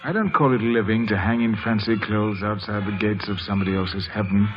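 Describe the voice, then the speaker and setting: An actor playing a brooding, bitter, passionate spurned lover in an intense, theatrical old radio drama style. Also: male voice, lowish voice, steady tone, brisk, lightly acted. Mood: anguished passion. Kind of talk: 1940s-50s radio drama acting. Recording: dull old radio broadcast with loud music.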